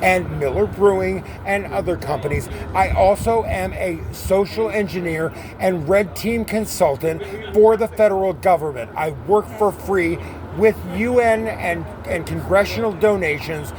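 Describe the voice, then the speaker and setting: A man's voice: sits high, measured pace, hardly any pauses, a crowd in the background. A man outdoors pleading urgently and swearing often while talking to an outreach worker. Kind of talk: unscripted street conversation. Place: outdoors on a city street. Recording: street noise, traffic outdoors.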